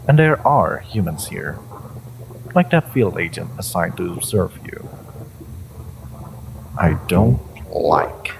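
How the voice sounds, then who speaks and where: A man playing an ancient, possessive hive-mind entity in an audio drama, condescending and theatrical, with amused menace and a low, creaky voice. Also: a little mellow, slight background noise, full character voice, poor audio. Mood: possessive, menacing. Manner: theatrical, condescending, halting with drawn-out menace and chuckles. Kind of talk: scripted audio roleplay addressed to the listener.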